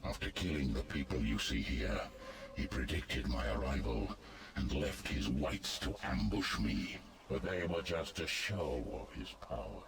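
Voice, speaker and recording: An actor playing an ancient, stern and wrathful god of the dead in a video game, with a very deep gravelly voice and a grave, imperious, formal manner. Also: male voice, expressive, a little slow, noisy background, exaggerated voice. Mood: grave, solemn.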